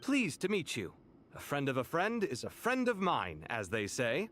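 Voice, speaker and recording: A man playing an earnest, sociable young scholar in a video game, with an expressive delivery. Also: a little high, normal speed, slight background noise. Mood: friendly, curious. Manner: animated, earnest. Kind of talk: English video game voice acting.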